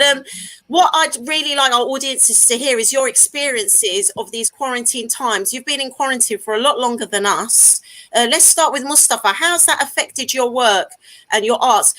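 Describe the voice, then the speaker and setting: A woman hosting a talk show in a bright, clear voice. She keeps things moving with encouraging questions and sums up what her guests say. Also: a little high, low-quality recording. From Malta. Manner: warm, encouraging interviewer, translates for guests. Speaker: host.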